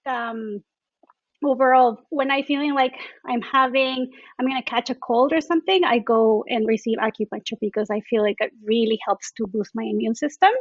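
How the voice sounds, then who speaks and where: A Mexican-accented woman with a high, bright-toned voice speaks as a podcast guest. She is effusive and enthusiastic. The sound is dry and slightly muffled. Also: no room sound. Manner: effusive, enthusiastic, repeats "I love". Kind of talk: conversational interview.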